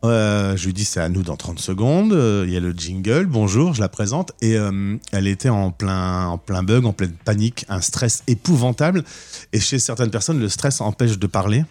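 Male radio host, low voice, eager and chatty in a studio, stumbles over words. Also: expressive, moderate pace, a little mellow. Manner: enthusiastic, stammering restarts. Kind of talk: casual interview chat.

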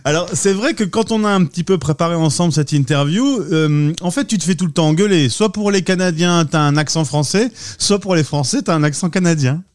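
A man's voice: a little high, brisk, hardly any pauses. A man hosting a radio interview, asking conversational, leading questions with some fillers.

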